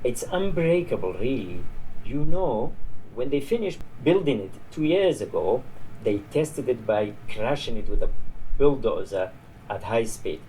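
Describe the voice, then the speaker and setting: A man's voice: medium pitch, animated, measured pace, dark tone, lightly acted. A man reading the part of a tired old border guard in a story, chatty, dismissive and grimly amused. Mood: mocking, emphatic. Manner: weary, chatty, discouraging. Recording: noisy live reading in a bar.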